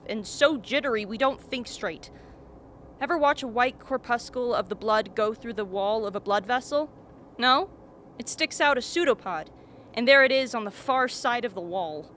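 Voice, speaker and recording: An actress playing an anxious but rational camp doctor in a radio play, analytical and matter-of-fact. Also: female voice, on the high side, expressive, moderate pace, a bit bright. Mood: clinical, uneasy. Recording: faint background noise.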